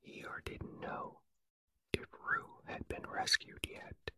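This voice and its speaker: A reader whispering a children's story softly and evenly in a calm ASMR style. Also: slow. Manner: soft unvoiced whisper throughout.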